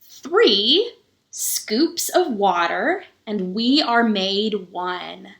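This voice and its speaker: Woman, children's story-time reader. Unhurried, mid-pitched, explains simply to little ones. Roomy, echoing recording. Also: animated, vocal fry, fairly bright tone. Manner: addresses young children, explaining simply as she reads. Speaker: pastor reading a children's book.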